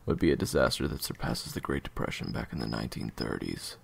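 A man playing a cold, short-tempered assassin interrogator in an audio drama, husky, with clipped, deliberate threats. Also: rumbling low, expressive, heavily acted. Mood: demanding. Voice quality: husky, gravelly. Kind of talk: audio roleplay acting.

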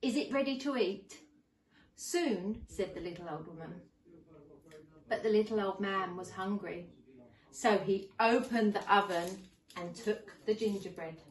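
Woman storyteller, teacher style: animated, slow and deliberate narration for small children, mic at some distance. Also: mid-range voice. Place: living room at home. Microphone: camera a few metres away. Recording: roomy, distant camera microphone.

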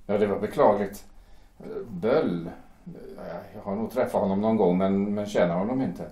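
A man acts out a deferential young shipyard worker in an audio drama, with a lowish, mellow voice. He speaks politely and stumbles over his words. The room is echoey. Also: natural voice. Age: young adult. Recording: roomy echo.

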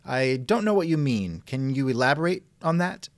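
Wry man with lively pitch swings. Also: normal speed, no echo. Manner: wry, skeptical. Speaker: interviewer, host. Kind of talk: reenacted text-chat interview, conversational.

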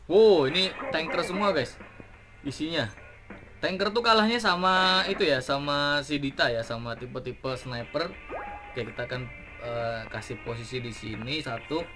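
Excited man calling a mobile game. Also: on the high side. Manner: excited play-by-play, cheering on his units. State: slight cough. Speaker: gaming YouTuber. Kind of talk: mobile game commentary and tutorial. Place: indoor room at a desk. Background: game music.

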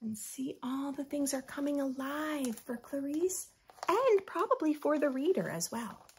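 An American woman in her thirties reading a picture book aloud with expressive, teacherly asides to young children. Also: high voice, a little slow. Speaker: children's story host. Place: small furnished room at home.

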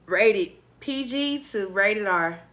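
A woman in her late twenties hosting a casual podcast interview, chatty and expressive, playfully teasing her guest, recorded on a studio mic in a small room. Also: medium pitch, slow pace. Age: 20s. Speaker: podcast host. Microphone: studio mic on boom arm.

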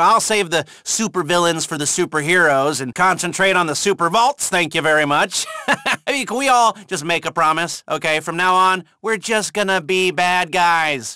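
An actor voicing a nervy, sarcastic male hacker who plans heists in a video game, with excitable, stammering asides. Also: high voice, smooth, no echo, clean studio sound, exaggerated voice. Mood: wry, relieved. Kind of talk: video game mission dialogue.

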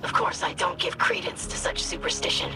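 A woman voicing an earnest, dutiful young female alien scholar in a video game, with formal, precise diction and a breathy voice. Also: lowish voice, little pitch movement, fairly quick, natural voice. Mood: thoughtful, cautious. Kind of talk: scripted video game dialogue. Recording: music under the voice.

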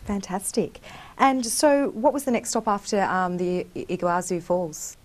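A woman's voice: medium pitch, expressive, a little slow. Upbeat young woman hosting an interview. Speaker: host. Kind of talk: studio interview. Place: small studio with curtain backdrop. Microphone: lapel mic.

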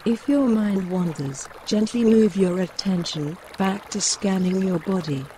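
A woman guiding a mindfulness body scan, giving gentle, measured instructions with pauses between phrases. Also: mid-range voice, slight background noise. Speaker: meditation guide. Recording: running stream water behind voice. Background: trickling woodland stream.

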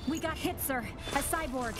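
Actress as female security operative in a game, very high voice, clipped urgent reports, loud music. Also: moderate pace, natural voice. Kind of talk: video game cutscene acting.

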